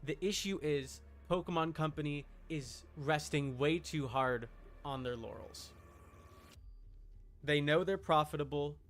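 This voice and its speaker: A man livestreaming a video game, chatting casually in an opinionated, emphatic, argumentative way. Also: a little high. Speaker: streamer.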